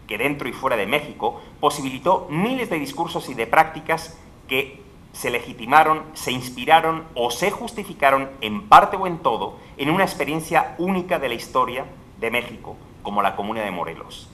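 A middle-aged man reading a formal academic lecture at a panel table mic in a large hall, measured and emphatic, dropping his voice at phrase ends. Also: mid-range voice, expressive, pushed and bright. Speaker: conference speaker. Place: large legislative hall, indoors. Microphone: table microphone at a panel.

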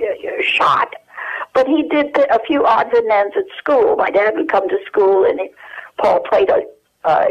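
An older woman, a guest on the phone, recalling family stories in a rambling way with many fillers. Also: on the high side. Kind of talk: telephone interview. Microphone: telephone. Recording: muffled telephone line.

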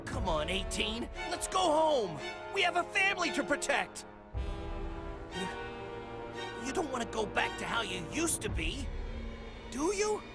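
A woman's voice: on the high side, sing-song, a little slow, pauses often, music in the background. A woman voicing a small, earnest, hot-headed male martial artist in an anime game, with emphatic, exclamatory delivery. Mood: defiant, pleading. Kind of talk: anime game dub acting.

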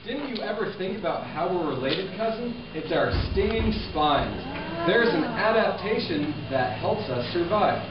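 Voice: mid-range voice, sing-song, normal speed, over a noisy crowd, natural voice. A confident, encouraging scorpionfish played by a puppeteer explains things in a mid-range voice. It is a puppet show recorded from a distance in an echoey room. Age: young adult. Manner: explanatory, assured. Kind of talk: children's educational puppet show acting. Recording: echoey room, distant mic, muffled sound.

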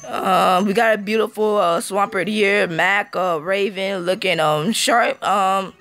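A man with a hoarse, fading voice giving casual let's-play commentary, halting with ums and throat-clearing, recorded on a phone. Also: high-pitched, a bit bright, soft background music. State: hoarse, losing his voice.

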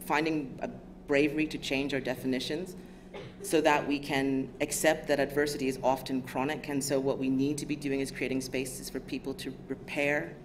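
An American woman with a smooth, low voice, giving an academic lecture in a measured, thoughtful way. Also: flat delivery. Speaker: guest lecturer. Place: lecture theatre stage. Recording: lecture hall audio with background noise.

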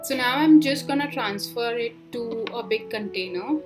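A young woman giving calm step-by-step cooking instructions as a voice-over for a home meal prep video. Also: on the high side, normal speed. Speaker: cooking vlogger. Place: home kitchen, indoors. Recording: voice-over over clear background music. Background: background music.

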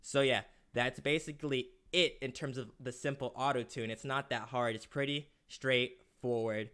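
Chatty young man explaining software. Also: mid-range voice, steady tone, normal speed, clean tone. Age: young adult. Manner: chatty, loose, with many fillers. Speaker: music production tutorial host. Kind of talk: casual screen-recorded tutorial. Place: small room indoors.

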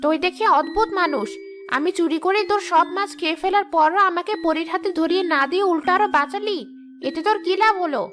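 A woman's voice: very high-pitched, fast, music in the background. A woman voicing a brash, greedy village ghost in a cartoon, with a blunt, grumbling, boastful manner. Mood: surprised, blunt. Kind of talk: animated cartoon dialogue.

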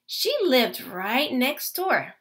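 A woman teacher reading a children's picture book aloud to her class. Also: high-pitched. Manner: slow, expressive storytelling.

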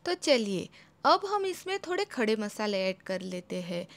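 A young woman giving a calm, step-by-step home cooking voice-over as a channel host. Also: medium pitch, unhurried, gravelly. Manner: calm, instructive, homely guidance.

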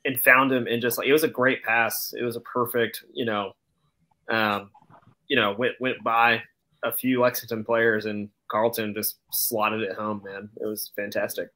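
A man on a casual sports podcast call, recounting plays in a halting, appreciative way. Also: fairly low pitch, little pitch movement, pushed and bright, poor audio. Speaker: podcast panelist. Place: indoor room, remote video call. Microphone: video call microphone.

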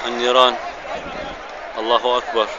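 Guy filming outside, talks fast in short solemn repeated phrases, lots of noise. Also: medium pitch, completely flat delivery, lo-fi sound. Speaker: amateur cameraman, eyewitness. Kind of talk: eyewitness narration of filmed footage. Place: outdoors in an open field near a large fire. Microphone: handheld phone camera. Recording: noisy outdoor phone recording.

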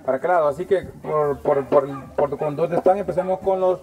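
A man's voice: fairly high pitch, brisk, warm tone, poor audio. A man with a Salvadoran accent hosting a toy handout, giving informal, repetitive instructions to people. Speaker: host handing out toys. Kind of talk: informal announcing to a crowd. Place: outdoors on a village dirt road. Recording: outdoor crowd noise all around. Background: crowd of children and adults.

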